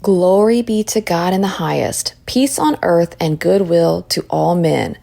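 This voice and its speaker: A woman voicing a solemn, joyful angel, proclaiming slowly and emphatically in a storybook. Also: lowish voice, vocal fry, dark tone. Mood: reverent.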